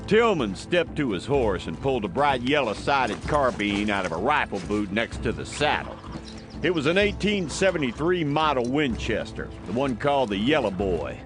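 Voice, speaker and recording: A man giving scripted dramatic narration of a western audiobook. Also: mid-range voice. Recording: music behind.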